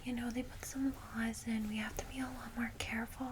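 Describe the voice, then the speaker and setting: Female ASMR whisperer, kindly vampire guide, unhurried and even in tone, clear background noise. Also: fairly high pitch. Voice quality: soft breathy whisper. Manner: gentle, reassuring, unhurried. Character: kindly vampire secretary welcoming a newly turned vampire. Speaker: ASMR roleplay creator playing a guide to new vampires.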